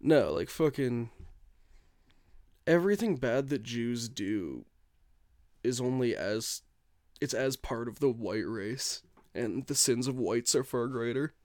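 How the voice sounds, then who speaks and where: Deadpan man swearing through podcast chat, dry sound. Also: medium pitch, slow, long pauses, no echo. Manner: mumbly, profane, deadpan, hedging with "I don't know". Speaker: podcast co-host. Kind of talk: casual chat.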